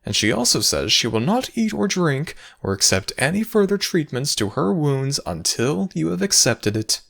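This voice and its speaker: Lofty, high-pitched Egyptian god voiced by a man. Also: sing-song, normal speed, vocal fry, a bit bright, full character voice. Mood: solemn, explaining. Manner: formal, theatrical, patient, superior. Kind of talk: dramatic ASMR audio roleplay.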